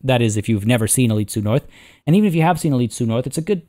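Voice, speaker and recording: A man with a hoarse, tiring voice, chatting casually as he plays a zoo-building game and rambling through his thoughts. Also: medium pitch, animated, rapid-fire, mellow. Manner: rambling, chatty, many restarts and fillers. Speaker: let's play host. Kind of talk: casual gameplay commentary.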